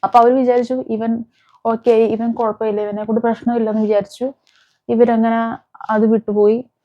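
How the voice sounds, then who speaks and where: Female crime-channel host, fairly high voice, chatty explanatory storytelling with some English mixed in, slightly muffled lo-fi recording. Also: little pitch movement, moderate pace. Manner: conversational, explanatory, mixing in English words. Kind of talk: informal true-crime storytelling to camera or mic. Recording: dull, muffled lo-fi sound.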